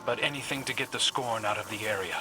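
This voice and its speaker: An actor playing an earnest, compassionate, questioning warrior in a video game. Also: male voice, fairly low pitch, fast, music in the background, lightly acted, poor audio. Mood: concerned. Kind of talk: scripted video game dialogue.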